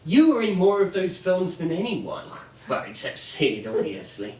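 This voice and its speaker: An awkward, polite man, played by an actor, apologetic and stammering, with a very changeable pitch. The theatrical delivery comes from far off in an echoey stage space. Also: very animated, normal speed, a little mellow. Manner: hesitant, stammering. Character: awkward, polite, apologetic son of an old colleague.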